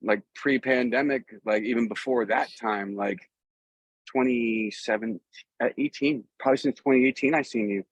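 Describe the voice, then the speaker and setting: A man's voice: mid-range voice, normal speed, husky, dry recording, highs cut off. A middle-aged man chatting casually as an interview guest, telling stories in a rambling, easygoing way. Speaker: guest, musician. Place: outdoors.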